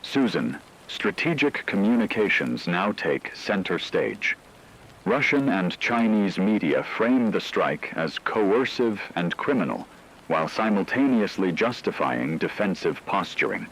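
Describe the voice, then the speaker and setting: A man playing a solemn radio correspondent, reading scripted emergency news in a formal, measured way. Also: low-pitched, expressive, fairly bright tone, slight background noise, exaggerated voice, rough recording. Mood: grave, urgent. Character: grave male radio war correspondent in fictional broadcast.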